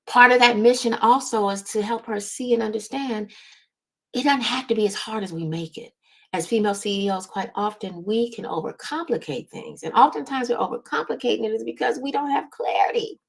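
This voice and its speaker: A woman's voice: on the high side, fairly quick, creaky, fairly bright tone. A woman giving motivational business advice as a coach, speaking emphatically, repeating words for stress and often checking in with the listener.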